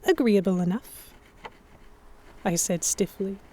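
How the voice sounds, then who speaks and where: A woman playing an anxious young noblewoman captive, narrating her own story in a measured, introspective way for a historical romance audio drama. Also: medium pitch, warm tone. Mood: guarded.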